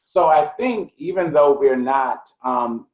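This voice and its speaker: A man speaking as a curator on a video-call panel, reflective and measured as he builds his points step by step. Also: on the high side, animated, lo-fi sound. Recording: echoey room on a video call.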